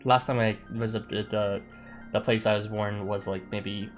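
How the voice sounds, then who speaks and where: A young man, streaming a game and chatting casually with viewers in a halting way, full of fillers and little chuckles. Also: fairly low pitch, steady tone. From Canada. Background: game music.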